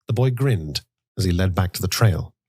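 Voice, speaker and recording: Husky male audiobook narrator, dry sound. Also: sits low, lively intonation, moderate pace, a little mellow, no echo. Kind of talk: literary scripted narration.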